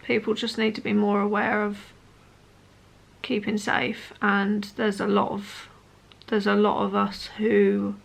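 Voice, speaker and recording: A woman in her late twenties talking personally to camera as a vlogger, in an earnest, hesitant, halting way. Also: mid-range voice, gravelly, husky. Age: late 20s.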